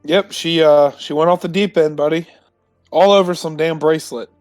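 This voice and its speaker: A man's voice: on the high side, steady tone, moderate pace, darkish tone, no room sound, faint music behind. A man playing in a tabletop roleplaying game, talking casually and bluntly with a sardonic edge.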